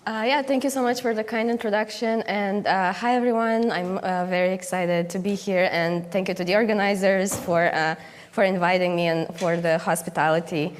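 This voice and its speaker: A young woman from Romania speaks at a conference keynote in an average pitch. She talks hesitantly, restarting words and filling pauses. Her voice carries the long reverb of a large hall. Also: steady tone, a little hiss or hum. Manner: many fillers and restarts, hesitant.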